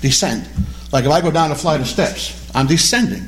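A man teaching a Bible study class, animated and questioning, repeating key words as he explains them. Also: a little high, moderate pace. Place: classroom or church hall with a small group.

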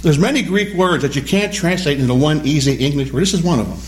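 A man teaching a Bible study class, animated and questioning, repeating key words as he explains them. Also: on the high side, fast, a little hiss or hum. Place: classroom or church hall with a small group.

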